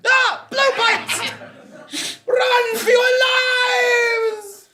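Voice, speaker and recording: A man playing a blustering, arrogant explorer in a live comic audio drama, pompous and excitable, tripping over his words. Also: very high-pitched, very slow, raised voice, exaggerated voice. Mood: horrified.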